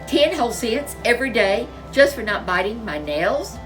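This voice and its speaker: A woman's voice: fairly high pitch, very animated, moderate pace, distant mic, over music, voice pushed up, low-quality recording. A woman voicing an eager, nervous little girl bear cub in a storybook. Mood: excited.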